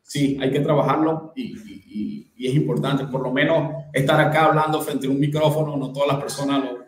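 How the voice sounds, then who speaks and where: A man's voice: mid-range voice, fairly even intonation, fairly quick. A man in his thirties, a guest on a video-call interview, speaking earnestly with frequent restarts and repeated phrases. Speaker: guest network specialist. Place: bright indoor room. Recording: echoey room.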